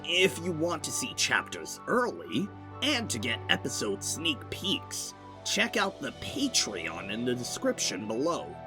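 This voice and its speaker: A man's voice: mid-range voice, huge pitch swings, unhurried, over music. A man presenting a scripted thank-you and sign-off for an online audio series. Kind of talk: scripted channel outro.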